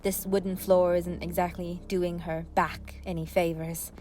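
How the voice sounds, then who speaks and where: A woman's voice: lowish voice, level tone, measured pace, dark tone, a little hiss or hum, natural voice. An actress playing a polite, hesitant but bold female hostage in a radio play, with a dry delivery. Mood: awkwardly polite.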